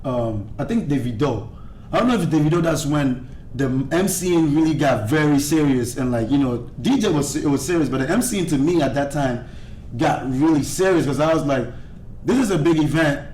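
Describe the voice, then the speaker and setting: A man works as an event MC and chats as a guest on a talk show. He rambles and restarts his sentences often. His voice sits somewhat high, and the studio has a roomy echo. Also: animated, fairly quick, a little mellow, a little hiss or hum. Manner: rambling, many restarts and fillers. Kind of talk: casual chat.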